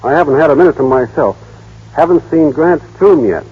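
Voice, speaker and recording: A man's voice: fairly high pitch, expressive, brisk, breathy edge. An actor playing a naive, plainspoken small-town young man in an old-time radio drama. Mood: curious, wary. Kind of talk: 1930s-40s radio drama acting. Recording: muffled, band-limited old radio broadcast.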